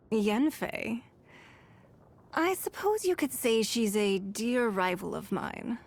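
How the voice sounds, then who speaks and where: A woman voicing a poised, shrewd, wealthy young businesswoman in a fantasy video game, with formal, measured and elegant delivery. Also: mid-range voice, creaky, darkish tone. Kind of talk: scripted video game character voice lines. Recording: music behind the voice. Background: music.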